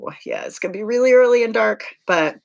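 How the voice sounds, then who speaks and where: A woman cycling coach talks in a relaxed, chatty way, her voice a little hoarse and worn. She laughs easily as she talks. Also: a little high, fast. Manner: chatty, laughs often, voice a bit worn from teaching. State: slightly hoarse. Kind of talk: casual coaching chat.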